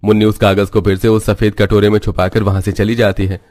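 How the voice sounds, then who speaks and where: A man serves as narrator of a cartoon folk tale. His voice is on the low side. He reads scripted narration in a dry, echo-free recording. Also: level tone, fairly quick, darkish tone. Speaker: storyteller, narrator.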